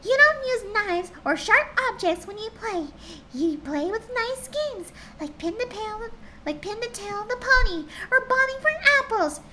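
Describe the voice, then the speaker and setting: A woman voicing a gentle female pony who scolds like a stern mother. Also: extremely high pitch, expressive, a little slow, heavy background noise, pitched above the performer's own voice. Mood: scolding.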